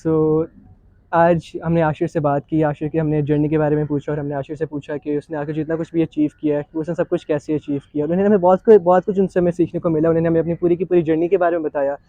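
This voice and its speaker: A man's voice: on the high side, little pitch movement, fast talker, dark tone, no room sound, dull audio. A man with a Pakistani accent hosting a conversational interview, mixing English phrases into his speech. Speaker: interview show host.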